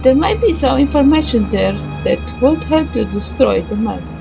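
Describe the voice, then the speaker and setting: This is a woman with a fairly high voice, reading a visual novel aloud as she plays. Her delivery is halting, with stumbles, pauses and little asides between the narrated lines. Game music plays clearly behind her. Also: expressive, highs cut off.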